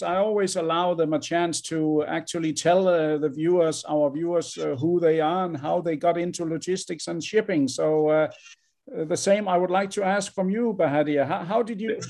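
A man's voice: high-pitched, steady tone, normal speed, darkish tone. A man hosting an online interview in a formal, courteous manner. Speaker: interviewer, host.

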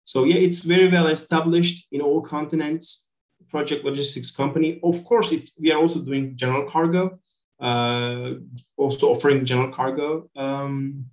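A man's voice: fairly high pitch, fairly even intonation, moderate pace, roomy sound, poor audio. A middle-aged man with a Turkish accent, giving informal, conversational answers as an interview guest. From Turkey. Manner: informal, peppered with "you know". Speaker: interview guest, company director.

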